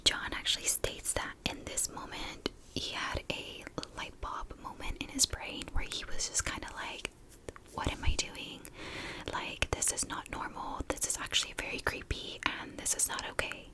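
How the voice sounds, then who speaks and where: Girl whispering asmr storytime, super breathy, chatty, right up on the mic. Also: normal speed, low-quality recording. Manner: chatty, conversational asides. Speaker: ASMR video host.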